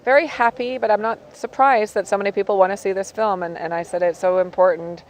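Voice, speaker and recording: A woman producer, speaking earnestly and warmly in an interview. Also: medium pitch, steady tone, brisk, rough recording. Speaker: film producer.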